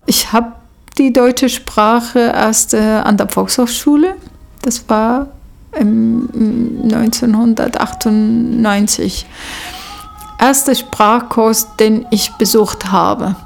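Slow, gravelly woman speaking German as a second language. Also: a little high, fairly even intonation. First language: Arabic. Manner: hesitant, many fillers and restarts. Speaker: social worker. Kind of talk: interview answers.

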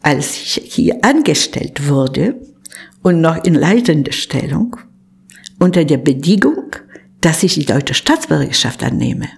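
Deep husky older woman. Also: big pitch swings, measured pace. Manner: plain, matter-of-fact. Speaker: interviewee.

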